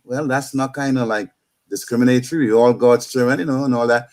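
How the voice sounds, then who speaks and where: A man's voice: mid-range voice, fairly even intonation, brisk, darkish tone. A man in his fifties from Trinidad with a Trinidadian accent, telling stories on a radio talk show and often repeating words as he starts a sentence.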